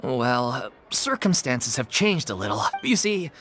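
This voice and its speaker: A woman's voice: very deep voice, big pitch swings, unhurried, background noise, exaggerated voice. A woman voicing a composed, businesslike theatre troupe manager in a game, heard from a distance. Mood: calm. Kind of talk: game voice acting.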